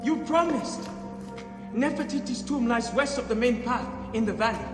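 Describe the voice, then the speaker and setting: A man's voice: very high voice, normal speed. An actor playing a desperate young orphaned man in a video game, pleading and earnest. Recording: echoey hall, music behind.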